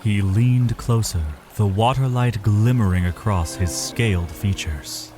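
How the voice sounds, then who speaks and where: Low-voiced male fantasy narrator. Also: a little slow, over music. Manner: literary, solemn storytelling. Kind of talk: scripted dramatic fantasy narration.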